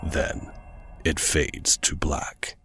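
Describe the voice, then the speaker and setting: A man narrating an audio drama in a scripted storytelling style, with dramatic music behind him. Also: extremely slow.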